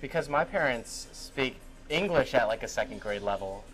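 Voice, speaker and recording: A young man of middling pitch chats his way through an interview, rambling, trailing off and laughing at himself. He talks casually, piling on fillers, with faint music somewhere behind him. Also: lively intonation, moderate pace, low-quality recording. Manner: rambling and self-deprecating, laughs often, lots of fillers. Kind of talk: casual chat. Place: on a cruise ship.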